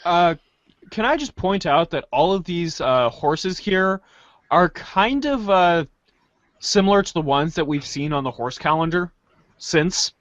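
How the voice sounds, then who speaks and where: Woman on a podcast read-along, super animated pitch, casual, dull dry mic. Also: unhurried, no echo. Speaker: podcast reader.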